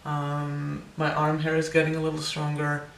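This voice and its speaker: Young man vlogger, hesitant and casual, flat gravelly delivery with voice cracks, roomy distant recording. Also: fairly high pitch, moderate pace, a little hiss or hum. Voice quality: voice cracks, air breaking through on some notes. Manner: hesitant, many ums and restarts. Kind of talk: casual personal update to camera.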